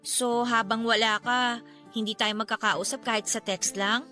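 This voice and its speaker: A woman's voice: on the high side, steady tone, moderate pace, pushed and bright, faint music behind. An actress playing a clingy, desperate young Filipino woman who pleads emotionally with her lover in a radio drama. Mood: sulky, hurt.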